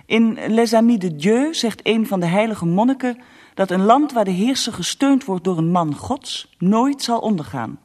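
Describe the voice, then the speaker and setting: An actress playing a devout Russian empress, reading out her letter with measured, insistent earnestness in a radio drama. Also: mid-range voice, lively intonation, a little mellow, natural voice. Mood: earnest pleading.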